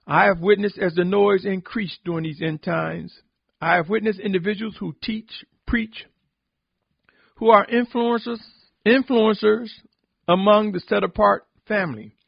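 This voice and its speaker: Gravelly, husky man preaching solemnly. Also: sits high, dry recording, muffled recording. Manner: exhortatory, deliberate, with emphatic repetition. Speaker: religious podcast host and preacher. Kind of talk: solemn sermon-like spoken reflection.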